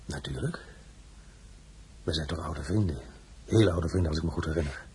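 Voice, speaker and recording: An actor playing a bitter, war-weary emperor in a radio drama, commanding, sarcastic and formal. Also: male voice, very deep voice, moderate pace, a little mellow, a little hiss or hum. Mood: curt, ironic. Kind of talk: Dutch-language radio drama acting.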